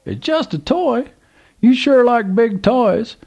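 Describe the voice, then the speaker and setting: A man voicing an excitable, toothless old Southern man who drawls and exclaims in a high voice. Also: takes it slowly, vocal fry, heavily acted. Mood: excited.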